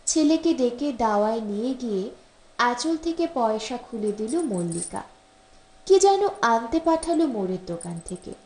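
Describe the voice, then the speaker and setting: Woman, high voice, expressive audiobook narration, literary storytelling, roomy echo. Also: normal speed. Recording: roomy, echoing voice recording.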